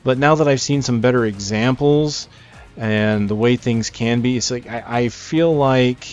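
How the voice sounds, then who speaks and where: A man's voice: medium pitch, unhurried, smooth, muffled recording. A man giving casual, unscripted gameplay commentary as he presents a game, rambling and thinking aloud with game music behind him. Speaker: gamer presenting a game preview.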